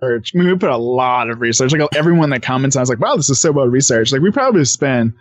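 A man's voice: mid-range voice, lively intonation, quick pace, no room sound. A man talking as a podcast guest, eagerly explaining things in casual conversation. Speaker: podcast guest, co-host of own show. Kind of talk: casual interview chat.

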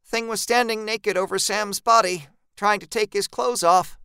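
A man voicing a blunt, resolute rural woman in a dark horror story, urgent and matter-of-fact. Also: high-pitched, fairly even intonation, moderate pace, clean tone, dry recording, studio quality, voice pushed up. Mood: grim, urgent. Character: gritty rural woman, blunt, resolute monster-fighting neighbor. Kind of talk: dark horror audiobook character voice.

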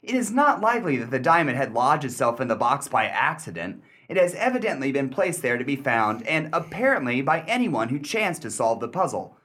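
A man playing a shrewd, suspicious gentleman collector in a radio play, theatrical and wordy, with mock-courteous condescension. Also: mid-range voice, big pitch swings, brisk, hardly any pauses. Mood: skeptical, musing. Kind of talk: old-fashioned radio play acting.

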